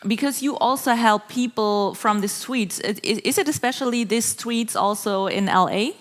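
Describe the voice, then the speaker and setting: A woman asks her questions hesitantly, pausing and searching for the right words. Her voice carries a long, lingering reverb, as if recorded in a large hall. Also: fairly high pitch, slight background noise. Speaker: interviewer. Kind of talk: interview questions.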